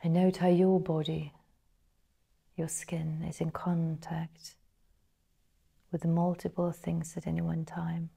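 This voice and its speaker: A woman guiding a meditation in a soft, breathy, partly whispered voice, measured and full of pauses. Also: sits low, completely flat delivery. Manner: slow, measured, pausing between phrases. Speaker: meditation guide. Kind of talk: guided meditation narration.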